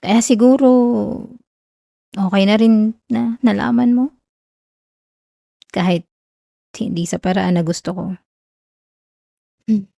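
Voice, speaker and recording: Girl acting as a whiny cute filipino college tsundere, soft asmr roleplay, shy n hesitant, super dry close mic. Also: mid-range voice, gravelly, dry recording. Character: young college woman, whiny tsundere best friend shyly confessing love.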